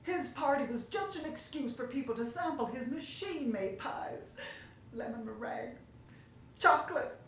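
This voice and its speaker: A woman voicing a jealous male storybook baker in dread of ruin, with a dramatic, drawn-out, tearful delivery. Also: high-pitched, lively intonation, fairly bright tone, far from the mic, pitched above the performer's own voice. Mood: dreadful, tearful. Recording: very echoey room, faint noise.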